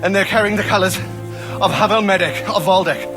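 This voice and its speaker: An actor playing an alarmed medieval messenger in a video game, out of breath and urgent. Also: male voice, sits high, moderate pace, close to an everyday voice. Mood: panicked. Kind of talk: dramatic video game cutscene acting. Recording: music behind the voice.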